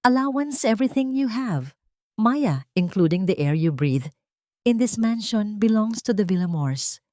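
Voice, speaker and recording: A woman's voice: mid-range voice, lively intonation, a little slow, dark tone, no room sound, clean studio sound, pitched above the performer's own voice, close to an everyday voice. A woman voicing a cruel, haughty old mother-in-law in an audio story, with a cutting, contemptuous manner and a Filipino accent. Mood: cold contempt.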